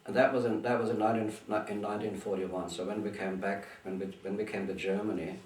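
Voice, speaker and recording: An older man from Bulgaria whose first language is Bulgarian, slowly recalling his past in an interview and often stopping to restart a sentence. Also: lowish voice, fairly even intonation, darkish tone, slight background noise. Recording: echoey room, mic far off.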